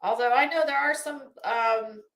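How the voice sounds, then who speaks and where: A middle-aged woman hosting a casual livestream, chatting to viewers in a rambling, expressive way with self-deprecating asides. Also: fairly high pitch, moderate pace. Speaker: livestream host. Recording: roomy, echoey indoor sound.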